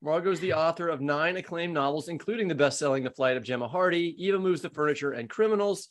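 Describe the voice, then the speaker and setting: Higher-pitched American man hosting a podcast. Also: fast talker. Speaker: podcast co-host. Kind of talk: conversational hosting, part scripted introductions.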